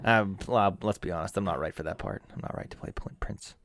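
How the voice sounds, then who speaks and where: A man's voice: low voice, brisk, fairly bright tone, no echo, clean studio sound. A man co-hosting a casual podcast while feeling sick, telling rambling, profane, self-mocking stories. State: ill, under the weather. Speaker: podcast co-host. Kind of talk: casual chat.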